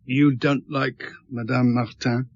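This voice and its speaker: An actor playing a calm, persistent police inspector in a radio drama, asking terse, courteous, probing questions. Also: male voice, mid-range voice, slow, no room sound, muffled recording. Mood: measured, inquiring.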